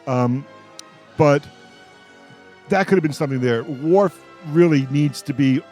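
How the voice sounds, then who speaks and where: A man hosting a casual radio roundtable, giving opinions in a halting way and repeating words as he goes. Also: on the high side, darkish tone, faint music behind. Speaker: roundtable host on a radio show. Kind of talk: casual panel discussion.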